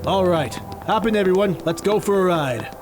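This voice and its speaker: Gallant male magician for audio drama, played by a man: lightly high, very lively, theatrical, music underneath. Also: normal speed. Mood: excited, commanding.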